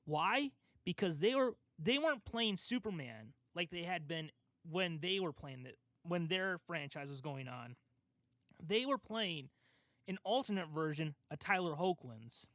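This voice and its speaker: A man's voice: a little high, lively intonation, unhurried, a bit bright, dry recording, clean studio sound. A young adult man hosting a casual pop culture podcast, talking through his argument step by step with emphasis. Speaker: podcast host.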